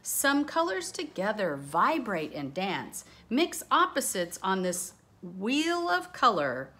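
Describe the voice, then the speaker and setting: A woman's voice: medium pitch, big pitch swings, slow, bright, forceful tone. A woman teacher reading a rhyming children's book aloud, with casual explaining asides spoken directly to young listeners.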